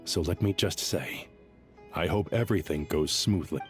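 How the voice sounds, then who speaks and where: A man voicing a composed, dutiful leader in an anime game, speaking in a measured, formal, courteous way. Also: deep voice, soft background music, natural voice. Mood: calm, grateful.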